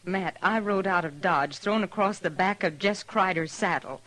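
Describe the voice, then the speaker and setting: A woman's voice: on the low side, moderate pace, a bit bright, lightly acted, poor audio. An actress playing a world-weary, defiant frontier woman in a radio western, plaintive but hard-edged. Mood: bitter, defiant. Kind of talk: 1950s radio western acting.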